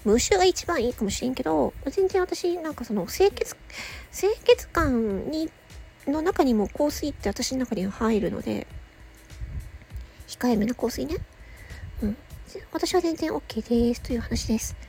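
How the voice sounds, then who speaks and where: A woman hosting a casual solo audio show, chatting playfully with self-mocking asides and a husky, slightly creaky voice. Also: sits high, animated, moderate pace, darkish tone, faint music behind, poor audio.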